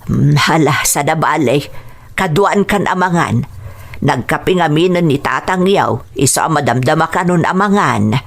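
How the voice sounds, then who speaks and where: A woman's voice: sits low, expressive, normal speed, creaky, exaggerated voice. A woman playing a concerned older mother-in-law in an Ilocano radio drama, fussing expressively and with sympathy. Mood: sympathetic, questioning.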